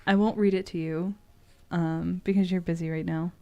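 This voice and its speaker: A woman's voice: fairly low pitch, fairly even intonation, moderate pace, dark tone. A woman giving tarot readings on a stream in a playful, casually profane way, moving between loose chat and reading aloud.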